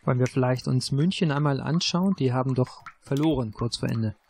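A man's voice: mid-range voice, a little fast, creaky, darkish tone. Male game contestant, faint background noise. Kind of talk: casual game chat, suggesting moves.

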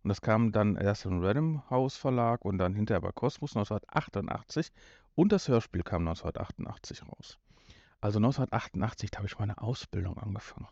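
A man in his fifties hosts a casual podcast with a relaxed, mellow voice. He rambles and jokes as he goes. The sound is slightly muffled and roomy, as if recorded at home. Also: on the low side, fast, gravelly. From North Rhine-Westphalia, Germany. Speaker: podcast host. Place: room in a family home.

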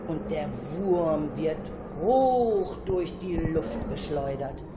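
A woman narrating a children's story in an expressive, dramatic, suspenseful way. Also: low-pitched, slow, noisy background. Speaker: storyteller, radio show narrator.

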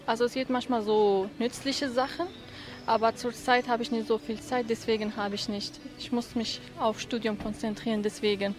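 A young woman answers off the cuff in a street interview in town. Her voice is fairly high. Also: steady tone, moderate pace, music in the background. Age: young adult. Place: outdoors in a city centre.

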